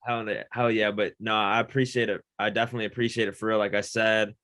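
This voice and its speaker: A man's voice: fairly low pitch, flat delivery, fast, fairly bright tone. A man hosting a producer interview show, talking casually with hype and lots of affirming thanks to his guest. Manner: hype, affirming, grateful host talk. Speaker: interview host.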